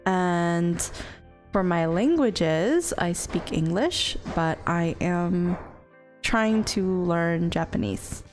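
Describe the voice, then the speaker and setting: Slow-talking giggly woman streamer. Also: fairly low pitch, lively intonation, faint music behind. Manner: giggly, rambling, many fillers and restarts. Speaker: vtuber streamer. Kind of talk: casual live-stream chat.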